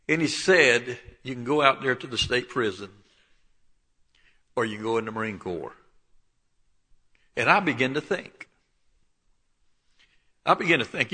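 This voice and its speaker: A man in his seventies with a Southern Alabama accent, preaching and telling his life story in a folksy way, often clearing his throat. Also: mid-range voice, bright, forceful tone. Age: 70s. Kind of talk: sermon with personal testimony. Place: church.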